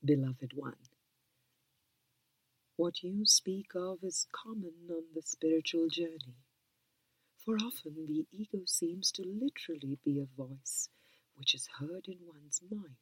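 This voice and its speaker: A woman reading a spiritual book aloud in a soft, calm whisper. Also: low voice, measured pace, a little hiss or hum, rough recording. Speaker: reader.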